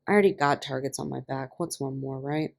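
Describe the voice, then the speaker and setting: A woman voicing a gruff, irritable male prison inmate in an audio story, curt, mumbling and slangy. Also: rumbling low, fairly quick. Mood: quiet, unbothered.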